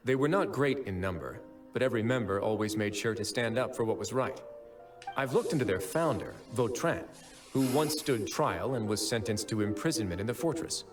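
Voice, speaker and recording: A man voicing a composed, shrewd, gentlemanly fortress overseer in a video game, articulate and deliberate. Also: lowish voice, expressive, music in the background, natural voice. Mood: thoughtful.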